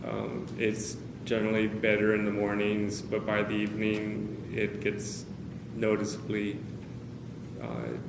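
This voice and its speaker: Low, gravelly-voiced man pausing often. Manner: hesitant, many pauses and fillers, candid. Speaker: speaker at a caregivers' meeting. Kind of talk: personal talk to a small group. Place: meeting room with a group of about 45 people. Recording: roomy, noisy meeting-room recording.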